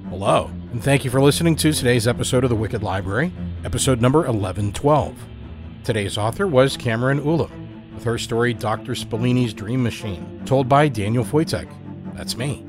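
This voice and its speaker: A man's voice: medium pitch, fairly quick. A man reading scripted narration as the storyteller of a fiction podcast. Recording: music under the voice. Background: musical score.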